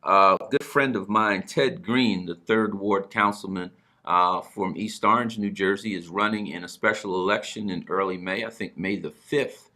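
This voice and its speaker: A man's voice: low-pitched, moderate pace, pushed and bright. A middle-aged man hosting a talk show, speaking conversationally and earnestly, often repeating words as he goes. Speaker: broadcast host.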